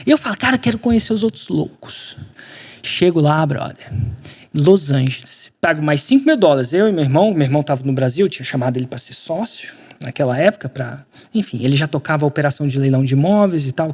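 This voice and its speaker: A man from Brazil, a podcast guest, telling a story in casual conversation, animated and slangy, acting out quotes. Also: on the high side, fast, husky.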